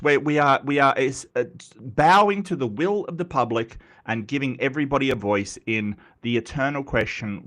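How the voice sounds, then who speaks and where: A man's voice: mid-range voice, a bit bright. An Australian man hosting a live stream, nasal and congested from a cold, rambling and joking with frequent stammered restarts. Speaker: host of a live atheist commentary stream. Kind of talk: casual live-stream chat.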